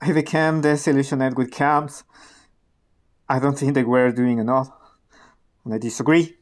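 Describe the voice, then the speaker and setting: A man's voice: medium pitch, steady tone, moderate pace, lightly acted. A man plays a disillusioned activist turned informant in a radio play. His mid-range voice is earnest and guarded. He still challenges whoever he faces on principle.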